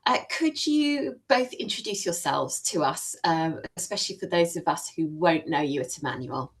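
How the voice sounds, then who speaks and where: Encouraging woman with a lowish voice. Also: animated, moderate pace. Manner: warm encouraging, many fillers. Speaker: interviewer. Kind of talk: church testimony interview.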